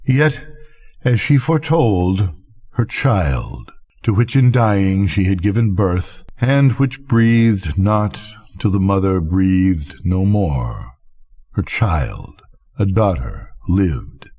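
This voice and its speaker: An older man with a husky voice reads a gothic literary story in a solemn, measured manner. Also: sits low, big pitch swings, dark tone. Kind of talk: scripted literary narration, gothic bedtime story reading.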